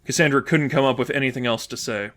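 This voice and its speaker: A man's voice: mid-range voice, fast talker, fairly bright tone. A man reading scripted fiction aloud as the narrator of a fan fiction audiobook.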